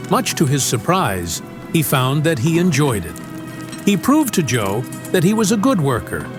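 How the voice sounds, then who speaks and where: A man narrating an audio drama, reading scripted story prose in a dramatic style. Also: on the high side. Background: music.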